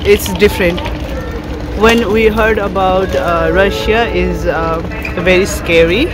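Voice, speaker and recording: A woman from Nepal speaks haltingly in an outdoor street interview. Music is heard clearly beneath her voice. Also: mid-range voice, steady tone. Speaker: youth leader interviewee. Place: outdoor city square.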